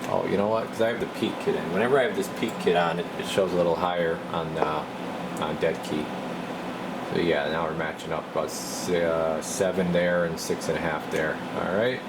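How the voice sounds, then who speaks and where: A man's voice: deep voice, fairly even intonation, brisk, heavy background noise. A man giving a casual, off-the-cuff demonstration as a repair technician, muttering informally along as he works. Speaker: radio repair technician presenting his bench test. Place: indoor radio repair bench.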